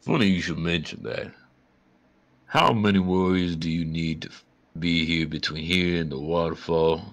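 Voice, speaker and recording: Man voicing a deep, creaky, calm dragon. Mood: dry, calm menace. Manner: measured, dry, unhurried. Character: huge old dragon, calm, dryly threatening.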